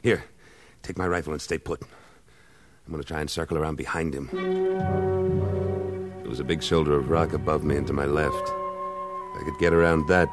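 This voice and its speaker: A man playing a tough, steady cowboy rancher in a terse, hard-boiled 1950s radio western style. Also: rumbling low, normal speed. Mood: tense, hushed. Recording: old radio recording, faint music.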